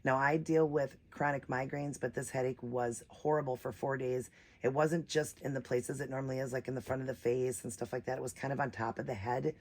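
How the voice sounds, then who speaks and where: A woman with a naturally raspy voice, a bit hoarse from a cold, chatting casually as she hosts a doll unboxing vlog. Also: a bass voice, fairly even intonation, a little fast, darkish tone. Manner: chatty, rambling, many fillers. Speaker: reborn doll channel host.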